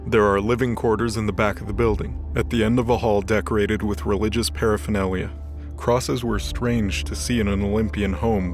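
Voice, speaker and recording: Male narrator, lowish pitch, scripted audio-drama storytelling over a music bed. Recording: soft background music bed.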